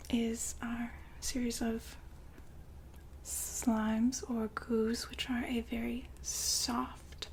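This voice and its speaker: Woman acting as poised sci-fi madam, ASMR roleplay, soft breathy whisper, slow formal sales patter, highish voice, noisy background. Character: poised, businesslike madam of a futuristic robot brothel. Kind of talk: whispered ASMR roleplay, speaking to the listener as a client.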